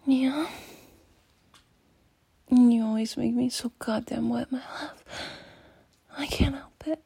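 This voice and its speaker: A woman in an intimate adult audio roleplay, speaking in a breathy, teasing near-whisper with frequent sighs. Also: on the high side, steady tone, mellow, no echo. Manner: slow, intimate, teasing, sighing.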